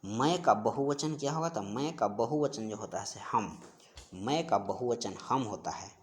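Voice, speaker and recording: A man's voice: medium pitch, expressive, unhurried. A man teaching an exam-prep grammar lesson, going through questions and answers and repeating each answer in an instructional way.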